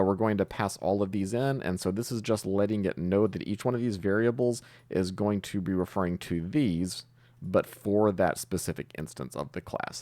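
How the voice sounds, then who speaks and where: A man's voice: low voice, a little mellow, dry recording. A man presenting an informal step-by-step screencast tutorial, explaining things as he goes. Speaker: host of a programming tutorial channel.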